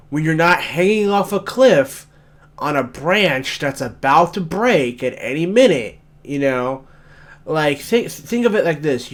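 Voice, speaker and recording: A young man with a raspy, just-woken-up voice, talking bluntly and swearing as he rants in a casual solo commentary. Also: fairly high pitch. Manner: blunt, ranting, swears often. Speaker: gaming commentator.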